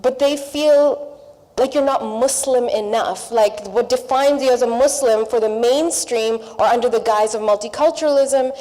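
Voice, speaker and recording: A woman's voice: fairly high pitch, normal speed, mellow. A Pakistan-born woman, English not her first language, speaking earnestly at a seminar panel and listing her points in repeated phrases. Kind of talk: panel discussion remarks. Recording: reverberant hall.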